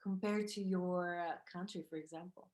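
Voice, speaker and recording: A woman's voice: on the low side, fairly even intonation, normal speed, a little mellow. A woman hosting an interview, asking polite, somewhat hesitant questions. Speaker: host. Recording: echoey room.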